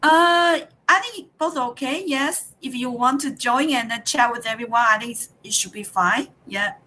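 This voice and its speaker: A woman in her thirties from Taiwan with a Taiwanese accent, leading an online teacher workshop in a halting way, with many fillers and restarts. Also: high-pitched, bright, forceful tone.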